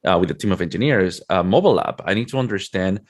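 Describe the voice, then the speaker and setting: A man's voice: sits low, expressive, fast talker. A man from Mexico City with a Mexican accent, a native Spanish speaker, answering interview questions conversationally as a guest. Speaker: interview guest, product manager.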